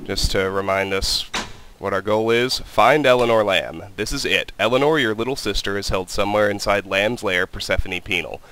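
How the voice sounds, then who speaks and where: Low-voiced man commentating a game. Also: normal speed. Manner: calm, methodical, reads in-game text aloud. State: has a cold, voice slightly weak. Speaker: let's play host. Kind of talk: casual gameplay commentary. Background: game music.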